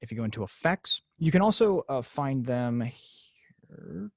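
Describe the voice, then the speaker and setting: A man with a gravelly edge to his voice walks a class through software over a video call. His talk is casual and full of fillers. The sound is dry and close. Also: medium pitch, very animated, measured pace, no echo. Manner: casual, filler-heavy explaining. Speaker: class instructor.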